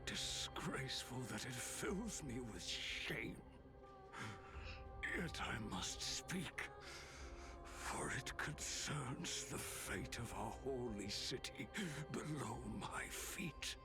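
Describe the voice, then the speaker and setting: A man voicing an ailing old priest in a video game, solemn and grateful, with a weak, breathy voice. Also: medium pitch, animated, slow pace, over music. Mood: grave, ashamed. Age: older.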